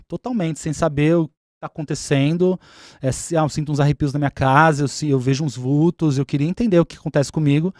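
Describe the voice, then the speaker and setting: A man's voice: on the high side, darkish tone, no room sound, clean studio sound. A man speaking as a guest on a podcast, explaining his spiritual practice patiently and repeating key points. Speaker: umbanda spiritual leader and guest.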